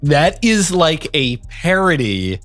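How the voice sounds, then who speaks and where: A man's voice: on the high side, very animated, takes it slowly, a bit bright, no echo, faint music behind. Man commentator, indignant, emphatic, dry.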